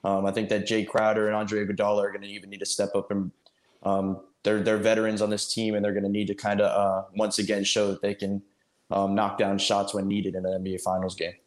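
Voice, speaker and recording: A man's voice: lowish voice, monotone, a little fast. A man giving casual sports analysis on a podcast, hesitant and circling back on his points, in a roomy recording. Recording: roomy, echoing pickup.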